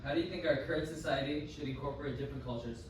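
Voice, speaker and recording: A man reading out interview questions in a plain, even way. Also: a little high, racing. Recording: echoey, distant, noisy room recording.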